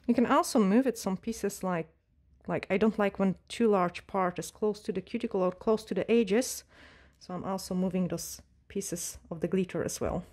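Woman nail tech, mellow tone, calm step-by-step tutorial delivery with fillers. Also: medium pitch, moderate pace. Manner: calm, instructive, with frequent fillers and non-native grammar. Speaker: nail technician teaching a tutorial. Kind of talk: step-by-step tutorial narration while working. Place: nail salon desk.

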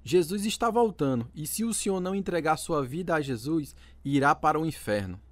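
A man's voice: on the high side, lively intonation, brisk, dry recording, close to an everyday voice. An actor playing an earnest young man telling his conversion story in an audio drama. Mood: fervent. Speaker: narrator and lead actor. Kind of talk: audio drama narration and acting. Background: guitar music.

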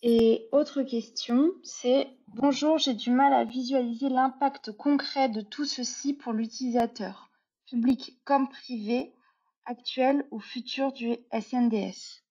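Mellow woman moderating, dull call audio. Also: high voice, steady tone, slow. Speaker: moderator reading audience questions. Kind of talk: informal moderation of an online meetup. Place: video call.

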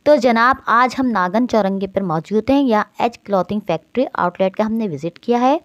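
A woman's voice: mid-range voice, normal speed, no room sound. A woman vlogger with a Karachi Urdu accent, talking straight to her viewers as she tours a clothing shop on her phone. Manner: lively, addresses viewers directly, prompting questions. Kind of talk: shop tour vlog, interviewing owner.

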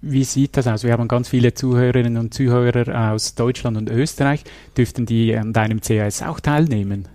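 A man hosting a security podcast, asking short, brisk questions. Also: medium pitch, fairly even intonation, warm tone, dry recording. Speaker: podcast host. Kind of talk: interview hosting.

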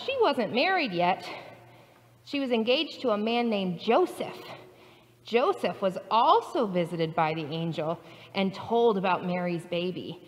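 A woman pastor telling a Bible story to preschool children in an animated, expressive way, recorded in a church sanctuary by a distant camera. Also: medium pitch, long reverb tail. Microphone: far camera.

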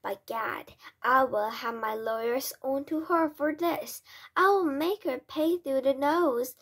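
A woman voicing a blustering, indignant middle-aged English father who shouts and stammers in a storybook read aloud. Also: high-pitched, measured pace, slightly rough. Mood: furious bluster.

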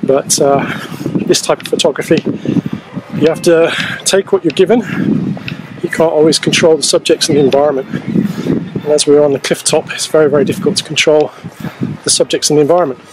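A man in his forties talking casually to camera as a wildlife photography vlogger, explaining things in a halting way on an outdoor camera microphone. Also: a little high, fairly even intonation, background noise. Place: outdoors on a grassy coastal clifftop. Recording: poor camera-mic sound outdoors, music behind.

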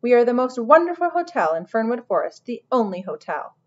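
A woman performs a self-important male lizard who runs a hotel front desk in a children's storybook. He is emphatic and easily worked up, with a raised, very animated voice and slightly dull sound. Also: on the high side, moderate pace, voice pushed up. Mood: excited. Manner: pompous, emphatic. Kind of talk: children's audiobook character voice.

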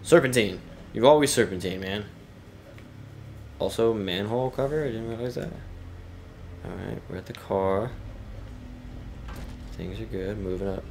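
A man with a fairly low voice that turns gravelly, chatting and joking with a friend while he plays. He calls out directions and teases himself as he goes. Steady game noise sits under him. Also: unhurried, long pauses. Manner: chatty, self-mocking, calls out moves. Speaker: co-op gamer. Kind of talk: casual banter while playing.

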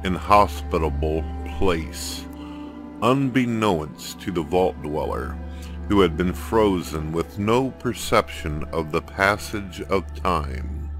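A man delivering solemn scripted story narration in a grave, dramatic, measured manner. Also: low voice, fairly bright tone.

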